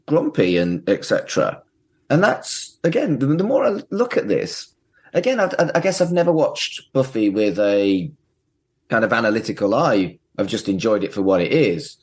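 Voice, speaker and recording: Male podcast host, lower-pitched with very animated intonation, casual and blunt in delivery. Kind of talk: casual episode discussion.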